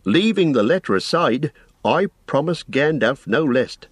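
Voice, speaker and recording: A man voicing a fussy, forgetful old innkeeper who rambles in a flustered, drawn-out way. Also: a little high, expressive, husky, dry recording, clean studio sound, voice pushed up. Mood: apologetic fretful.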